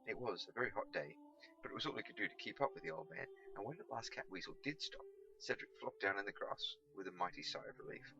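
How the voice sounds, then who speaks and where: A man reading a storybook aloud as scripted narration, in an expressive, dramatic manner. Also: medium pitch, quick pace, vocal fry, highs cut off, noisy background. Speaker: amateur audiobook reader.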